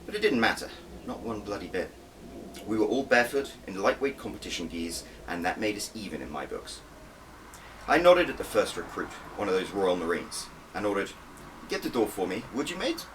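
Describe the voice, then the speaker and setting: A man's voice: deep voice, big pitch swings, a little fast, far from the mic, a little hiss or hum, poor audio. A man reading his own story aloud as an audiobook, with a wry, conversational manner and dramatic emphasis. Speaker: author reading own book. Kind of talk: first-person audiobook narration.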